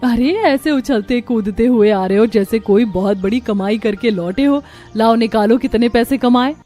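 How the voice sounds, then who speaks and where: A woman acts a young village daughter-in-law in a cartoon story. The voice is fairly high, chatty and expressive, over soft background music. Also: moderate pace, natural voice. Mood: nagging. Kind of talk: Hindi cartoon story dub.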